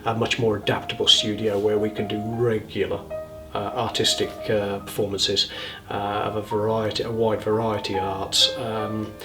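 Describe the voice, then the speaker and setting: A man's voice: fairly low pitch, level tone, moderate pace, over music. Enthusiastic man, slightly distant mic. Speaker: theatre member interviewed. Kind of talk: informal interview talk.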